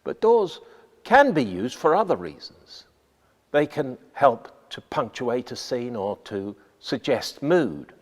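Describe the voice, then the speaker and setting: A man giving scripted instructional narration as a radio playwright, measured and deliberate with emphatic phrasing. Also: medium pitch, big pitch swings, big-room sound.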